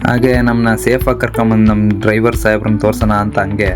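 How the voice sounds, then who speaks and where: A man's voice: on the low side, level tone, fast. A man from Karnataka, India, narrating a casual vlog in a chatty, informal way, addressing his viewers. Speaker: vlogger. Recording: loud music over voice. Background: loud music.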